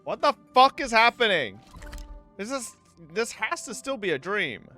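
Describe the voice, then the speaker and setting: A woman's voice: a little high, sing-song, unhurried, pushed and bright. A woman streamer reacting live to a game in a casual, profane way. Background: game music.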